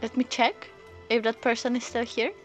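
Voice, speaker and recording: A woman streams a story game and chats casually as she plays. She speaks in a halting, rambling way, thinking out loud. Soft game music sits behind her voice. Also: fairly high pitch, little pitch movement. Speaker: live streamer. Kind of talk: casual chat while playing a story game.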